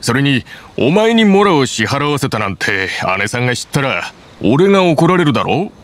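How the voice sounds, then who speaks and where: A man's voice: mid-range voice, big pitch swings, moderate pace, fairly bright tone. A man voicing a friendly young boatbuilder in a game, modest and reassuring in manner. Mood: easygoing. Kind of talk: video game voice acting. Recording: game audio with music.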